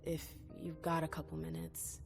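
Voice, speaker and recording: A female vampire in a video game cutscene, lowish and full of creaky fry. She is stubborn and skeptical with an old hurt, pressing coolly and insistently over prominent music. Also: monotone, normal speed. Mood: troubled, hesitant. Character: stubborn, wounded female vampire, probing her sire. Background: background music.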